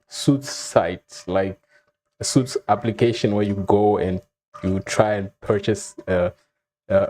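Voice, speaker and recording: A young man from Zimbabwe telling his own story in a relaxed, casual way, with frequent ums and soft chuckles. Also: lowish voice, slight background noise. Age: young adult. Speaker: vlogger.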